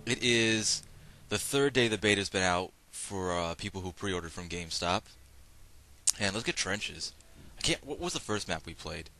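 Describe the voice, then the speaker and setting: Man, raspy and hoarse from a cold, fairly low voice, bright and forceful tone, casual rambling game commentary. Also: normal speed. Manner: rambling, self-interrupting, bursts of excitement at game action. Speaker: gameplay commentator. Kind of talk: casual running commentary over online gameplay.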